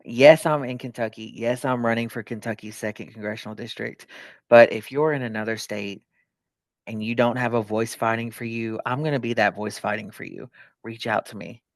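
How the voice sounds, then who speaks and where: A man's voice: medium pitch, fairly even intonation, moderate pace, creaky, no echo. A man from the United States speaking as a political candidate in a conversational interview, plain-spoken and repeating phrases for emphasis. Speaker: political candidate, podcast guest.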